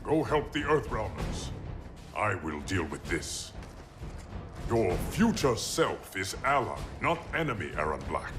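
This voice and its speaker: An actor playing a proud, stern warrior emperor with a formal, solemn delivery in a video game cutscene. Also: male voice, on the low side, sing-song, unhurried. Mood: measured. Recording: dramatic music behind the voice.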